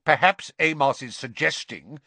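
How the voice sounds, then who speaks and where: A man's voice: fairly high pitch, moderate pace, sharp tone, no echo, pitched above the performer's own voice. A man voicing a stern, imperious senior government official with a curt, formal, barking manner in a storybook reading. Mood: cold anger.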